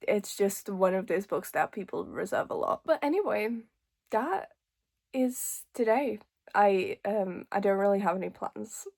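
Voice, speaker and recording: A young woman in her early twenties, originally from Hungary, chats casually as if to friends watching her vlog. Her voice sits at a middling pitch for a woman. She is informal and talkative, in an everyday, giggly way. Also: animated, a little slow, gravelly, darkish tone. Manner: chatty, informal, frequent "like" and small giggles. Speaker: lifestyle vlogger. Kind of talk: casual chatty vlog to camera.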